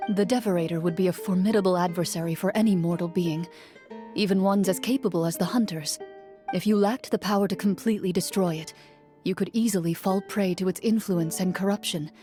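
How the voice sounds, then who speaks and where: An actress playing a composed, stern female monster hunter in a video game, speaking in a measured, formal, unruffled way. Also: on the low side, dark tone, soft background music, natural voice. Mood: cool, analytical.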